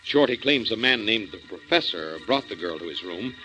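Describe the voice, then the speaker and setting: A man's voice: fairly low pitch, expressive, moderate pace, pushed and bright. A man with an American accent narrating a story recap as a 1940s radio drama announcer. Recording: dull 1940s radio recording with music.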